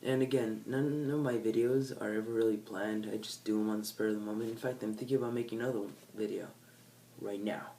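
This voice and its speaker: A nasal teenage boy from California rambles to camera, correcting himself and drifting between thoughts. His voice sits fairly low and a little distant from the microphone, with a faint hum of ambience in the background. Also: steady tone, fast, dark tone. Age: teens. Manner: rambling, self-correcting, laid-back. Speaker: vlogger. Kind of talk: casual unplanned chat to camera. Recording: faint background ambience, set back from the mic.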